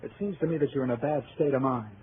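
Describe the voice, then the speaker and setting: An actor playing a brisk, patronizing male doctor, insistent and persuasive, in old-time radio drama style. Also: mid-range voice, close to an everyday voice. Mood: chiding. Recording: tinny, hissy old radio broadcast.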